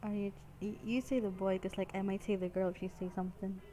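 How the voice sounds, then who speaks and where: A woman's voice: medium pitch, level tone, fast, dark tone, slight background noise. A woman co-streaming a game playthrough, chatting and reacting casually.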